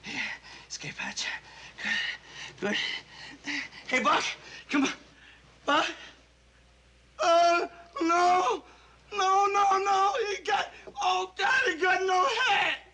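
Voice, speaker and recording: An actor playing a terrified young airman reliving a plane crash, sobbing, shouting and pleading. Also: very high-pitched, slow pace, pauses often, a little hiss or hum, heavily acted. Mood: panicked.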